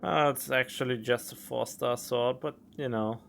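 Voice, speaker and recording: A man's voice: mid-range voice, fairly even intonation, normal speed, gravelly, a bit bright, a little hiss or hum. A man streaming a video game, making casual, playful, joking asides as he plays.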